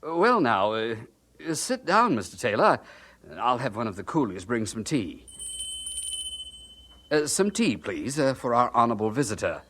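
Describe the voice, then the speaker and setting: A man's voice: mid-range voice, sing-song, moderate pace, pauses often, fairly bright tone. An actor playing a polite, flustered missionary in his thirties in an audio drama, hesitant and stammering. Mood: flustered, welcoming. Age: 30s.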